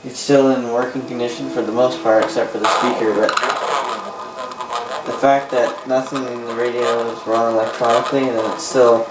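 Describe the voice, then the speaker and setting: Male, Canadian accent, amateur hobbyist presenter. Monotone, halting delivery with rephrasing, heard in an echoey room. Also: mid-range voice. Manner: halting, repeats and rephrases himself. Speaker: vintage radio hobbyist presenting. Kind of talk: casual unscripted demonstration. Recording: roomy, distant mic, faint noise.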